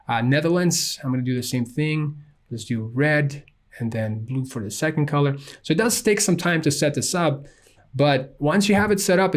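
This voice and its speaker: A man from Ukraine explaining software step by step at a desk microphone, casual, with frequent fillers. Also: medium pitch. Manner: casual, walks through steps with frequent fillers. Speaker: software tutorial instructor. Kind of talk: screen-recorded software tutorial.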